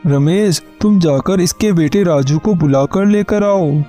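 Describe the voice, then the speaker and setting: A stern village headman from a cartoon, with a high yet mellow voice. He speaks with commanding authority. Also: measured pace, faint music behind. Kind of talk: Hindi cartoon voice acting.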